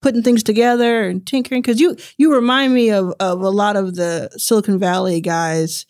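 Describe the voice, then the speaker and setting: A woman's voice: medium pitch, fairly even intonation, normal speed, darkish tone, no room sound, clean studio sound. A woman from the southern United States with an American accent, interviewing someone in a casual, conversational way.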